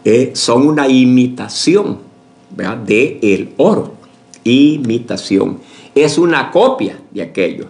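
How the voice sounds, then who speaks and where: Slow-preaching pastor, lively pitch. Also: male voice. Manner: slow, unhurried preaching with many fillers and restarts; addresses the congregation as brothers, asks for agreement. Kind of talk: sermon.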